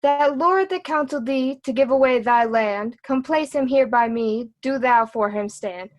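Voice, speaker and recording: A woman's voice: high voice, normal speed, lightly acted. A woman playing a witty, cheeky court jester in a Shakespearean reading, with a mocking, sing-song delivery. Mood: teasing, riddling.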